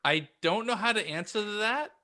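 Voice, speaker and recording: A man co-hosting a casual podcast, bantering in a self-deprecating, halting manner. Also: sits high, lively intonation, sharp tone. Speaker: podcast co-host.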